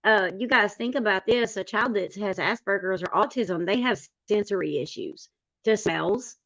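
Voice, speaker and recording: A woman's voice: medium pitch, moderate pace, a bit bright. A woman panelist talking casually and sympathetically, reading out comments and reacting to them. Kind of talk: casual discussion.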